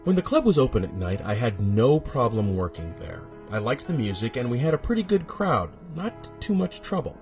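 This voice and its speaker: A man with a husky voice narrating a first-person horror story, dramatic and suspenseful, with deliberate pauses. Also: mid-range voice, sing-song, a little mellow, soft background music.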